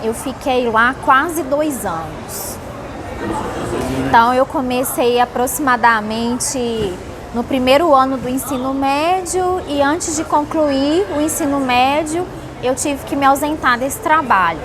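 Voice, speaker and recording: A woman's voice: sits high, a little slow. A woman from Salvador in Brazil answering interview questions with casual personal storytelling. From Salvador, Bahia, Brazil. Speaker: interviewee, company employee. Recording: noisy background with vehicle sounds.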